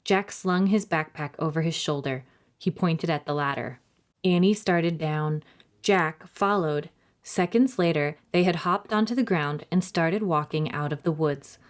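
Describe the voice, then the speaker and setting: A woman's voice: deep voice, a little slow. A woman hosting a children's storytime, narrating expressively and addressing young listeners directly. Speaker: library storytime host and reader.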